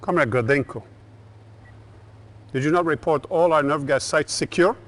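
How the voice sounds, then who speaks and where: An actor playing an older Soviet dictator in a video game, ominous and deliberate. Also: male voice, fairly high pitch, a bit bright, natural voice. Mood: menacing, cold. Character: menacing Soviet dictator, older man, boastful and theatrical. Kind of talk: video game cutscene acting.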